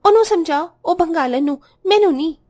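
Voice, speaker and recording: A high, scolding cartoon voice for a scornful Punjabi elder sister-in-law, acted out by a woman. She is hot-tempered and taunting, with a clear Punjabi accent. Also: expressive, measured pace, darkish tone, soft background music. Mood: indignant complaint.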